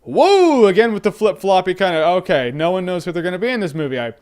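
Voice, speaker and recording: A man in his thirties giving an animated, sarcastic movie review to camera, slipping into mock voices. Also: sits high, fast talker, smooth. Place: studio with red backdrop.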